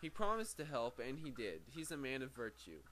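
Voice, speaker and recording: A man's voice: mid-range voice, sing-song, a little fast, fairly bright tone, low-quality recording. A man reading a book aloud as an amateur, stumbling over words, correcting himself and slipping into off-script asides. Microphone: very sensitive microphone. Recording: faint background noise, sensitive microphone.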